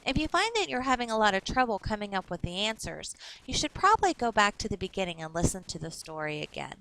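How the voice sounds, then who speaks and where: A woman teacher reading a story aloud for children in a clear, instructive way. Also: medium pitch, sing-song, quick pace, a bit bright, rough recording.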